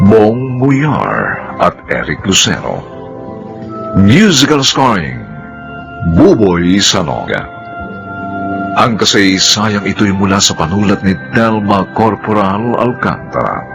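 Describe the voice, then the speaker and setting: Male radio drama announcer: solemn and theatrical, slow delivery with huge pitch swings, music under. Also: gravelly. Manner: theatrical, solemn, drawn-out phrasing. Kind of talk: scripted dramatic radio narration.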